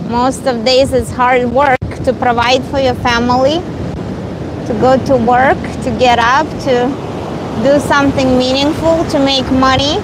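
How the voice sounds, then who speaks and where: Russian-native woman streamer on a windy beach, unhurried and chatty, heavy wind noise. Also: sits high, fairly even intonation. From Eastern Europe, Russian family. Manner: slow, rambling, reads and answers viewer comments. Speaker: live streamer. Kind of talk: casual live stream chat. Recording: loud wind and surf noise. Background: ocean waves and wind.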